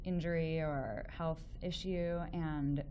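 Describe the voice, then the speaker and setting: A woman's voice: sits low, fairly even intonation, slow, dark tone, a little hiss or hum. A woman with a Chicago accent hosting a call-in relationship show, chatty and enthusiastic, full of fillers and restarts. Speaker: relationship expert and radio show host. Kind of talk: casual call-in radio chat.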